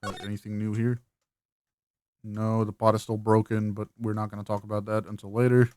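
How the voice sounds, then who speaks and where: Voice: mid-range voice, level tone, brisk, darkish tone, dry recording, studio quality, soft background music. A gamer doing a let's play, reading game text aloud and commenting casually. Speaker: video game streamer.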